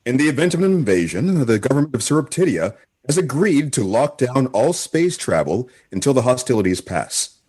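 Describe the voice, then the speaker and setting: A man's voice: medium pitch, sing-song, fairly quick, a little mellow, slightly rough. A man playing a dutiful, diplomatic station officer in a comedy sci-fi radio play, formal and placating. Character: dutiful, diplomatic male officer guiding an inspection.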